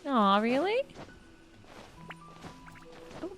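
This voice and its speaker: A woman's voice: sits high, sing-song, drawn-out words, fairly bright tone, faint music behind. A woman livestreaming a game, chatting casually with gushing, giggly reactions to what she plays. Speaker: game streamer. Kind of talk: casual livestream gameplay commentary.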